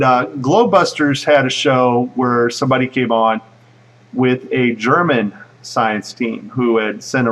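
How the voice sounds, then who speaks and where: A man gives casual, explanatory commentary over a video. His pitch is average and his delivery is informal and a little halting, as if musing aloud. He sounds set back from the microphone. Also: a bit bright, far from the mic, rough recording. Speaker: video host and narrator.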